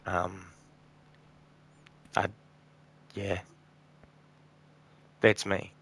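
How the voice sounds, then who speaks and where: A weary man with a low, gravelly, croaky voice talks casually over gameplay. He sounds tired and burnt out, rambling and trailing off between thoughts. A faint hiss of noise sits under the recording. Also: completely flat delivery, very slow, a bit bright. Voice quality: croaky, a bit raspy. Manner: subdued, rambling, frequent ums and trailing off. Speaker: gaming channel host.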